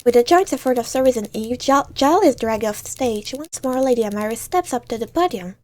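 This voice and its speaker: A woman's voice: a little high, lively intonation, brisk. A woman reading visual novel narration aloud in a dramatic storytelling way, now and then stumbling over words.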